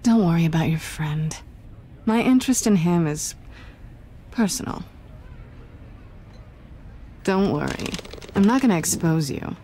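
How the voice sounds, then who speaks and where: A cool, sly woman thief from a video game. Her voice sits low and velvety, and she teases with sultry, deliberate phrasing. A faint noise lies under it. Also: animated, warm tone. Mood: sly, teasing.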